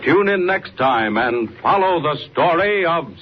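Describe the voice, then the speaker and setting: A man's voice: fairly high pitch, animated, unhurried. A man narrating and announcing a 1940s radio serial in a dramatic, declamatory manner. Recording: muffled, noisy old 1940s radio recording.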